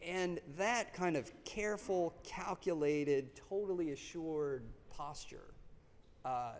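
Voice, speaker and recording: A man introduces a guest lecturer from a podium in an auditorium. His talk is halting and full of fillers and restarts. The sound carries a long hall reverb. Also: fairly high pitch.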